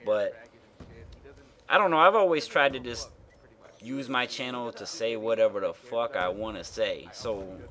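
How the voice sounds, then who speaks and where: A man's voice: medium pitch, unhurried, bright, forceful tone. A man with a nasal voice giving frank, rambling commentary over gameplay as a gaming YouTuber, full of fillers and the odd swear.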